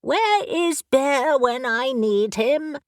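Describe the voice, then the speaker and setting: A woman's voice: high voice, expressive, extremely slow, dry recording, studio quality, pitched above the performer's own voice, full character voice. A woman doing a bossy talking raven. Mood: impatient.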